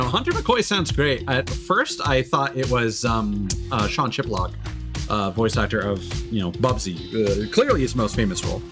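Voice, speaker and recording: A man giving casual, rambling, enthusiastic video game commentary as a livestreamer, swearing freely. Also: mid-range voice. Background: game music.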